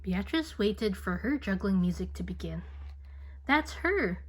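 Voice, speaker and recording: A woman reading a picture book aloud to children in an expressive, dramatic storytelling manner. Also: mid-range voice, normal speed. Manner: expressive, dramatic, with whispers and playful sound effects.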